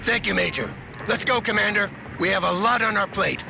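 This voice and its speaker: A man playing a hot-headed, stubborn army general in a video game, gruff and commanding, with a gravelly voice. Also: sits high, a little fast, very noisy. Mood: resolute. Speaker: military general. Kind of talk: dramatic video game voice acting. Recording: loud music behind the voice.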